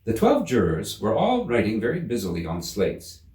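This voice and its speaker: Low-voiced man narrating, very expressive. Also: normal speed. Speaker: storyteller. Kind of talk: expressive scripted narration of a children's story. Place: indoor room. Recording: roomy, slightly distant microphone.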